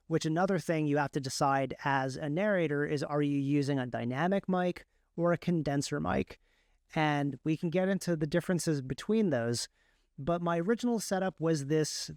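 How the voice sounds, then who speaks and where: A man speaking as an interview guest, explaining his work in a casual, halting, conversational way. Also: fairly high pitch, a little mellow, smooth, dry recording, studio quality. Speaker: guest, author and audiobook narrator.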